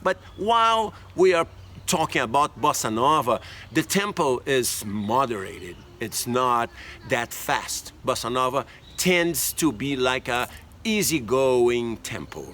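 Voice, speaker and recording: Slow-spoken man teaching percussion. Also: mid-range voice, animated, fairly bright tone, a little hiss or hum. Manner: slow, explanatory, counting beats aloud. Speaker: music teacher. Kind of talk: demonstrating percussion outdoors. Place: outdoors by a tree.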